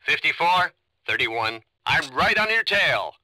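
High-pitched, eager pursuit cop. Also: male voice, sing-song, a little slow, bright, forceful tone, no echo, heavily acted, rough recording. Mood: keyed-up. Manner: clipped, urgent. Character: keyed-up, eager male pursuit officer. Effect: police radio. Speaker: police officer. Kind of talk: video game radio chatter.

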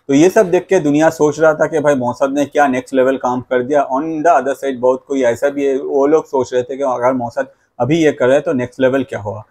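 A man's voice: a little high, darkish tone. A man in his thirties with an Indian accent explains news informally to camera, mixing in English phrases and leaning on repeated connecting phrases. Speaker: YouTube presenter. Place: plain indoor room. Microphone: clip-on lapel mic.

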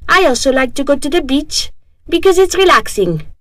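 A woman reading a scripted self-introduction for a language lesson, slow and carefully enunciated. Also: high voice, very animated, fairly bright tone, dry recording, studio quality. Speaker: dialogue reader.

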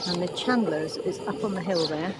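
A woman's voice: fairly low pitch, normal speed. A woman giving casual, chatty travel commentary on a handheld camera outdoors. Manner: chatty, informal. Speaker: travel vlogger, sailor. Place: outdoors at harbours and old ruins. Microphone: handheld camera microphone. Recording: clear outdoor background noise, camera audio.

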